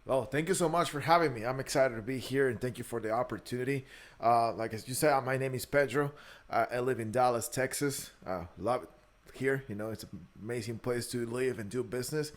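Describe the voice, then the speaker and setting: Guy in his 30s, guatemalan spanish accent, medium pitch, chatting candidly on a podcast, repeats words a lot. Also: fairly quick. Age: early thirties. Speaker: podcast guest, entrepreneur. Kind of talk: conversational interview, personal storytelling.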